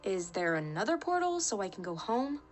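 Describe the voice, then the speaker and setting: A woman's voice: mid-range voice, very animated, moderate pace, faint music behind, lightly acted. A woman playing a curious young stowaway in a sci-fi radio drama, with a questioning manner.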